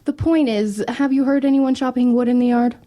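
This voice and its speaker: A woman's voice: high voice, fairly even intonation, a little fast, mellow, close to an everyday voice. A woman playing a guarded, wry teenage older sister in an audio drama, casual and offhand. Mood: reassuring.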